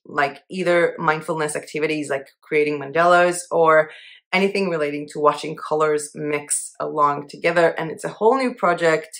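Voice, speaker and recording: Casting: young woman, low-pitched voice, relaxed chatty vlog delivery, upbeat and self-mocking. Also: normal speed. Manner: chatty, upbeat, laughs at herself. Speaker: channel host. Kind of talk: casual monthly goals update to camera.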